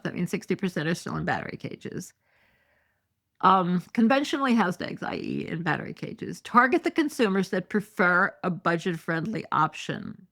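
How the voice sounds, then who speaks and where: A woman's voice: fairly low pitch, creaky. A middle-aged woman hosting a solo podcast, reading news articles and adding sarcastic, indignant asides. She talks with many fillers and is losing her voice. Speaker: podcast host.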